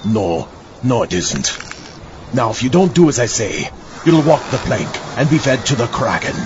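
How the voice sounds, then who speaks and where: An actor playing a sly, scurvy captain in a comic audio drama. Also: male voice, medium pitch, very animated, moderate pace, close to an everyday voice. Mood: sly, teasing. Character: sly, scurvy old pirate captain, gleefully lying. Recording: dull lo-fi audio with clear noise.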